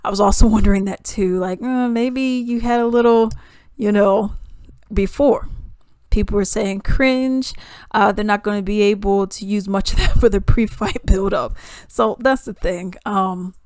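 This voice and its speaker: A woman talking casually about a fight, animated, jumping between loud emphasis and hushed asides. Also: mid-range voice, measured pace, dry recording, clean studio sound. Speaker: YouTube commentator. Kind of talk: casual commentary reading news and comments.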